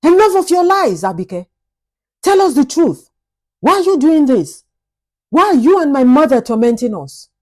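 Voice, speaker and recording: A woman voicing a troubled, resolute husband in a folktale, speaking forcefully and emphatically. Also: high voice, very animated, normal speed, long pauses, pitched above the performer's own voice. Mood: angry demand.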